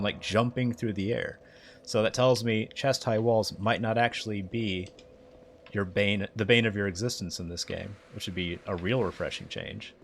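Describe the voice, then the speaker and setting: A young man with a mixed Southern and Midwestern American accent, chatting excitably and rambling in a self-mocking way while streaming a game. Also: fairly low pitch, a little hiss or hum. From United States. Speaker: livestreamer. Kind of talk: casual live gameplay commentary.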